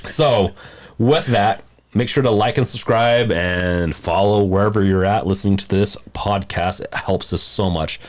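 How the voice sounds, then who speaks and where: A man hosting a podcast in a casual, jokey, animated chat style. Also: fairly low pitch, a little slow. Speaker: podcast host.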